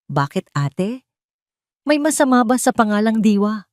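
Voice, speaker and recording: A woman's voice: fairly high pitch, big pitch swings, darkish tone, no echo, pitched above the performer's own voice. Woman acting a coolly sweet, scheming wife, dry recording. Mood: sweetly probing. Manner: sweet, deliberate, pointed.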